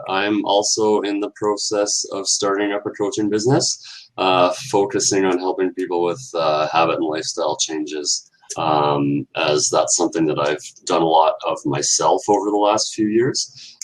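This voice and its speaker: Low-voiced man chatting about himself. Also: poor audio. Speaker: meetup participant, aspiring coach. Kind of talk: casual spoken self-introduction and advice.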